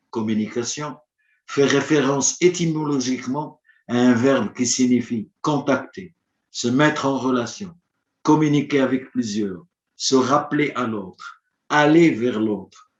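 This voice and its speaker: A man whose first language is Arabic, giving a formal prepared conference address in a measured, rhetorical manner, with long listed points. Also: mid-range voice. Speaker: conference speaker. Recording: roomy, dull lo-fi audio.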